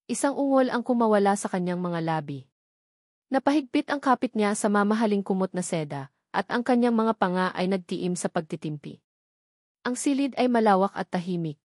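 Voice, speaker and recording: A woman reading a romantic drama aloud as its narrator, earnest and measured. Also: medium pitch, animated, darkish tone, no echo, clean studio sound. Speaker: storyteller.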